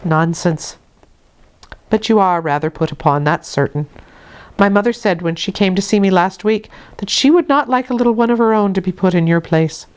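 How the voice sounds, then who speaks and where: A woman voicing a brisk young nursemaid from a storybook, cross but kindly, with a chiding, lilting manner. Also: mid-range voice, smooth, lightly acted.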